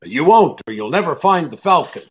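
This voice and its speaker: An actor playing a tough, shrewd private detective in a radio drama, brisk and commanding. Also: male voice, on the high side, very animated, lo-fi sound. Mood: confident. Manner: brisk, hard-boiled, commanding. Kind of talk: old-time radio drama acting.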